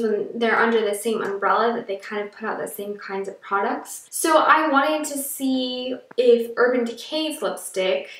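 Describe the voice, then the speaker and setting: A young woman with a froggy, allergy-roughened voice, chatting through a casual makeup review to camera, a little rambling with fillers. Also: a little high, fairly bright tone. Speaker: beauty vlogger. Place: small room indoors. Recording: echoey room, a little far from the mic.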